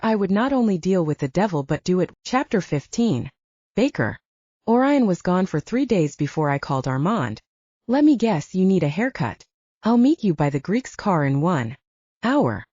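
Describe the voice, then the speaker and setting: A woman's voice: lowish voice, darkish tone, dry recording, dull audio. A woman narrating first-person fiction as a scripted audiobook, recorded close in a dry space.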